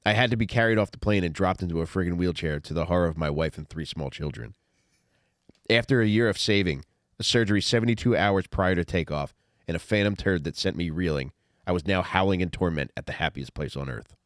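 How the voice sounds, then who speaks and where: A middle-aged man with a low voice talks in a blunt, conversational way, as if telling a comic memoir. The sound is close and dry, with very little room on it. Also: a little fast, no echo. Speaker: author reading own memoir and podcast host. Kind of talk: audiobook reading with casual comic asides.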